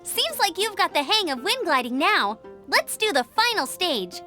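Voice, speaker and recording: An energetic young female knight from a video game, speaks in a very high, bright voice. She coaches with earnest encouragement and lively, swinging intonation. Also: moderate pace, faint music behind, full character voice. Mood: cheerful. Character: eager young female knight and gliding instructor.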